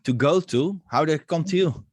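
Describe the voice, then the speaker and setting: A man hosting a podcast interview, asking halting questions with frequent hesitations. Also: on the high side, husky, no room sound. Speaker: podcast host.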